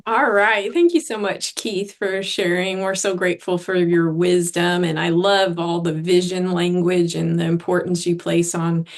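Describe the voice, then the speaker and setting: A woman's voice: fairly low pitch, fairly even intonation, measured pace, few pauses. A woman moderating a video call training session, thanking speakers and inviting questions in an encouraging way.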